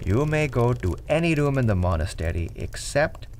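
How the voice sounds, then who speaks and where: A man playing a courteous but guarded head monk in an adventure audio drama, speaking in a formal, measured, serene way. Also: medium pitch, big pitch swings, background noise, lightly acted.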